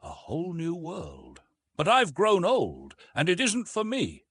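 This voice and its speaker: A man reading the part of an aging archaeologist, reflective and gentle, his voice a little husky. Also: sits high, wildly swooping pitch, a little slow, no room sound, pitched above the performer's own voice, exaggerated voice. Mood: resigned. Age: older. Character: aging male scholar, weary and resigned.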